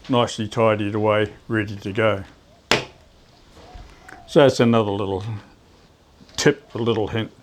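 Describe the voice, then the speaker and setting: A man presenting practical DIY tips in a casual, unscripted demonstration, often pausing mid-phrase to search for words. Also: on the low side, a little hiss or hum.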